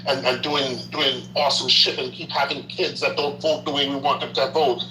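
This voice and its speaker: A man's voice: medium pitch, level tone, moderate pace, over music. A man co-hosting a livestream in casual political chat, speaking emphatically, repeating his points and dropping in slangy asides. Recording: roomy echo.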